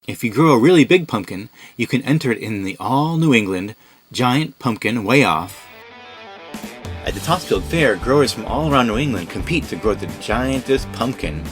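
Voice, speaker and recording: Male narrator for a kids' puppet show, animated intonation, friendly explainer, music under him. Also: medium pitch, moderate pace. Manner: friendly explaining, asks a child questions.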